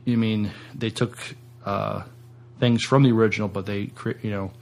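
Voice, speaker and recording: A man co-hosting a podcast, speaking casually in a halting, tentative way. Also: fairly low pitch, fairly even intonation, vocal fry, darkish tone. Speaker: podcast co-host.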